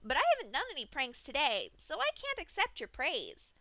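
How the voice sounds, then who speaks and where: A woman's voice: wildly swooping pitch, fairly quick, sharp tone, dry recording, full character voice, rough recording. A woman voicing a cheerful, sadistic female pony who chats sweetly about killing. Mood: gleeful. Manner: sing-song, bubbly, high and bouncy.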